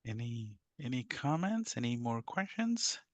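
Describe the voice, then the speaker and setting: A man's voice: medium pitch, big pitch swings, unhurried. A male moderator closing a talk, polite and brief. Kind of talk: moderating a webinar.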